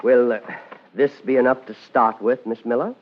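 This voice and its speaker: An actor playing a formal, quietly menacing mob lawyer in a vintage radio drama, measured and guarded. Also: male voice, mid-range voice, fairly even intonation, lightly acted. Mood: veiled menace. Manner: measured, formal, hesitant politeness with veiled threat. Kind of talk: 1950s radio noir acting. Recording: muffled vintage radio recording, faint music.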